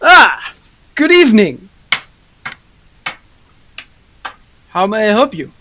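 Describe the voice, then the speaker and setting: A teenage actress playing a proud, stingy male baker in a high school radio play, with an emphatic, scolding delivery. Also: very animated, moderate pace, sharp tone, a little hiss or hum. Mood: briskly polite.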